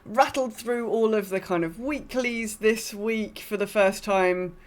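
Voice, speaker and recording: A woman's voice: mid-range voice, measured pace. A woman co-hosting a podcast, casually recapping her gaming in an understated, conversational way. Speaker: podcast co-host. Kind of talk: casual chat about an online game.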